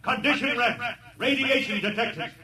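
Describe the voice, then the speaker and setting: Starship alarm voice, old radio drama. Urgent, piercingly high, set back from mic. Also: male voice, normal speed, sharp tone, full character voice. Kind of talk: 1950s radio drama acting. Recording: distant 1950s radio announcement.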